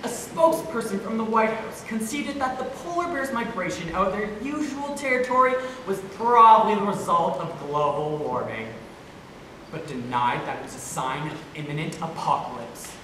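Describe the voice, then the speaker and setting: A woman playing a perky deadpan news anchor reading a mock bulletin on stage. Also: mid-range voice, moderate pace, close to an everyday voice. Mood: newsreader brightness. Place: stage in a hall, far camera. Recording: echoey stage recorded from far off, noisy.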